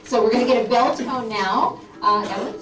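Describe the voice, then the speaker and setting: A woman's voice: on the high side, steady tone, fairly quick, over music. A woman speaking as class instructor and host, making informal announcements to an audience in a community center room. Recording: echoey room, distant mic, clear noise.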